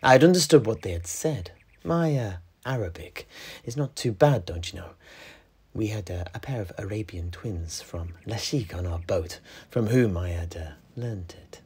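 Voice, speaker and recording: A man playing a worldly tavern keeper, recounting adventures in a husky, breathy voice with measured dramatic pauses and conversational asides. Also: lowish voice, expressive, darkish tone. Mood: reminiscing. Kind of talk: in-character fantasy storytelling.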